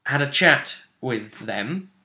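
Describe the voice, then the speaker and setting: A man running a tabletop roleplaying game as game master, recapping the story casually in a halting, emphatic way, repeating phrases as he goes. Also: medium pitch, expressive, bright, forceful tone.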